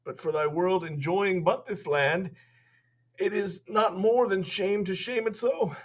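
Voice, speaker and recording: A dying, prophetic old duke who pleads and scolds in slow, declaiming verse. His voice sits fairly high for a man and moves at a very measured pace. The sound is muffled, as over a video call. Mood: scolding. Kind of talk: Shakespeare staged reading. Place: home room.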